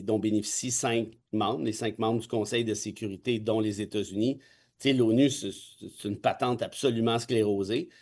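A man's voice: mid-range voice, darkish tone. A man in his forties with a Québécois accent, a constitutional law expert giving his views in emphatic, cynical, colloquial talk. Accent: Québécois French. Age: 40s. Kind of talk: casual opinion talk.

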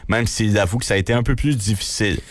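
A man with a Quebec French accent reads the radio news and traffic. His voice sits fairly low. He stays matter-of-fact but drops in casual slangy asides. The sound is close and dry, with no room around it. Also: fast talker, no room sound.

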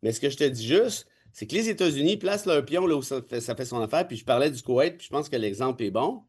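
A man's voice: medium pitch, animated, fast, husky. A man in his forties with a Québécois accent, a constitutional law expert giving his views in emphatic, cynical, colloquial talk. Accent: Québécois French. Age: 40s. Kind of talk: casual opinion talk.

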